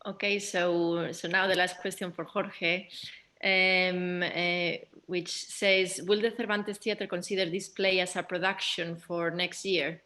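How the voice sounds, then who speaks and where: A woman's voice: on the low side, little pitch movement, a little slow, pushed and bright. A woman speaking as the host and moderator of a Q&A. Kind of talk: hosting a Q&A.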